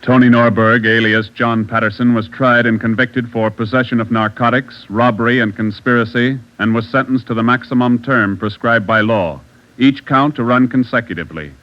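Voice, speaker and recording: A male announcer reading scripted closing announcements in a formal, measured way. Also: medium pitch, steady tone. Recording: dull old radio broadcast.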